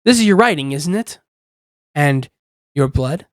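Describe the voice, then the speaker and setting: A performer voicing a smug, calmly dominant demon deal-maker in an intimate ASMR roleplay, teasing and coaxing with lingering pauses. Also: medium pitch, no room sound, studio quality, lightly acted. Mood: smug, menacing.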